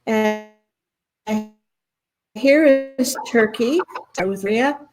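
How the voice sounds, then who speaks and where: A woman's voice: a little high, extremely slow, music in the background. A woman hosting a live-stream interview on a video call, chatty and self-deprecating, laughing often. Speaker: host.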